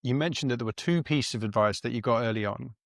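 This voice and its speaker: A man's voice: mid-range voice, quick pace, vocal fry, no room sound, clean studio sound. A man hosting an interview podcast, giving brief, encouraging prompts. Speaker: podcast host.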